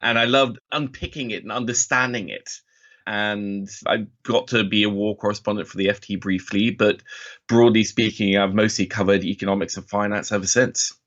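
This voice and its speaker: A man's voice: on the low side, steady tone, moderate pace, a bit bright, lo-fi sound. A man in his forties from Norway telling his story casually as an interview guest, enthusiastic and self-deprecating. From Oslo, Norway. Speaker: podcast guest, financial journalist.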